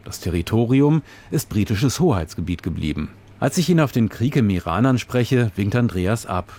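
A man narrating a scripted radio reportage in a measured, descriptive storytelling manner. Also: fairly low pitch, lively intonation, dark tone.

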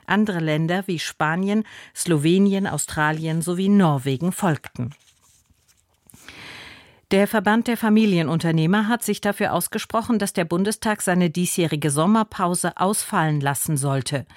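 A woman's voice: on the low side, normal speed, dry recording. A woman reading a scripted radio news and weather bulletin in a broadcast studio. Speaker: radio newsreader.

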